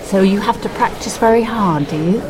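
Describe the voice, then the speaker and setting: A woman's voice: medium pitch, a little fast, over a noisy crowd, poor audio. A woman interviewing a child, encouraging and exclamatory.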